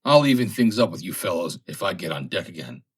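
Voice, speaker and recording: An angry, defiant sailor as acted by a male audiobook reader. He blusters and yells accusations in a raised, slightly husky voice, swinging sharply in pitch. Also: very animated, brisk, heavily acted. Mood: resentful. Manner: yelling, blustering. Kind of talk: audiobook character acting.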